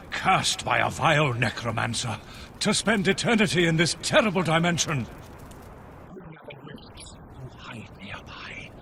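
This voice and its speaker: An actor playing a cursed undead knight spirit in a video game, speaking in a grandiose, archaic, theatrical way. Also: male voice, a little high, vocal fry, fairly bright tone, slight background noise, full character voice. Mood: pleading, grand, then mournful, imploring. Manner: archaic, grandiose, melodramatic delivery.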